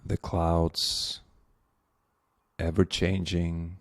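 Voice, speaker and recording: A man leading a guided meditation as the guide, speaking gently and unhurriedly with long pauses. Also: low voice, monotone, dry recording.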